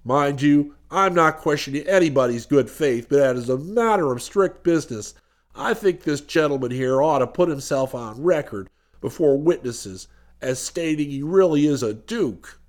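Guy playing a stubborn stout american business man in a story, low voice, blunt, suspicious, all matter of fact. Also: animated, normal speed, close to an everyday voice. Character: stout, stubborn, cold-eyed American small-town businessman.

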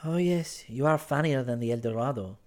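A man's voice: medium pitch, brisk, a little mellow, pitched above the performer's own voice, lightly acted. A male narrator voicing a poised young Frenchwoman, teasing, candid and pointed, in a storybook reading. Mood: amused. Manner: pointed questioning and scolding, sprinkled with French exclamations.

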